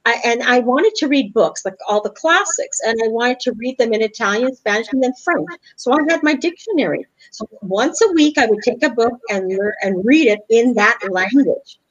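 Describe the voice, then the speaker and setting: Chatty woman host with a high bright voice, laughing often, easy friendly back-and-forth. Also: expressive, moderate pace, hardly any pauses, dull audio. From Canada. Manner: upbeat, chatty, laughs easily. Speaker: talk show host. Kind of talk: casual interview chat.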